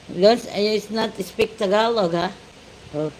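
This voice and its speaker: Filipino woman hosting a slow live stream. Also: medium pitch, a little hiss or hum, poor audio. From Philippines. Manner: greets viewers with repeated shout-outs, mixing Tagalog and English. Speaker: livestream host. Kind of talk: casual livestream chat.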